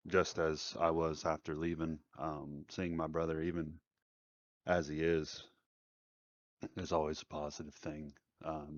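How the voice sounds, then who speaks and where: A man's voice: a bass voice, dry recording. A man playing a tabletop roleplaying game, thinking aloud as he casually describes what his character does, on a dull microphone.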